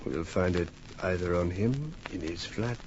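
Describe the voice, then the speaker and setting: A cold-blooded villain with a gun in a vintage radio thriller. He talks very low and unhurried, menacing, on a muffled recording with some noise. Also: expressive, darkish tone. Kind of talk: old-time radio crime drama acting.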